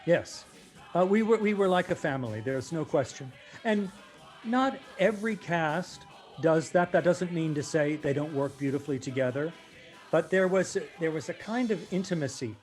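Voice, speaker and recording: Casting: articulate man, slightly high voice, emphatic, reflective interview talk with music behind. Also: expressive, normal speed, darkish tone. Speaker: stage actor, guest.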